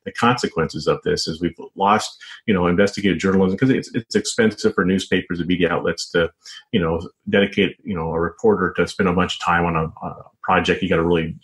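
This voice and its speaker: A man speaking as an interview guest, a journalist and author, answering conversationally in a wordy, halting way full of verbal padding. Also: low-pitched, poor audio. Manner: hesitant, wordy, frequent "you know" and "sort of".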